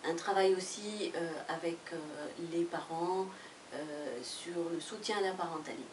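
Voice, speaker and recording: A woman's voice: fairly low pitch, steady tone, moderate pace, background noise. A woman whose first language is Spanish, presenting a book as director of an association, speaking hesitantly with many fillers and restarts. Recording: echoey room from far off.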